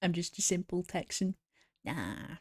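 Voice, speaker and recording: A woman's voice: on the low side, animated, a little slow, husky, no room sound, clean studio sound. A woman streamer chatting casually and giggling as she answers viewers while she draws. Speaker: VTuber streamer.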